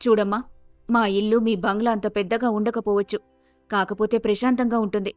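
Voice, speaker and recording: A woman voicing a kindly, motherly character with a coaxing manner in an animated moral story. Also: mid-range voice, fairly quick, no room sound, soft background music, natural voice. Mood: caring, coaxing.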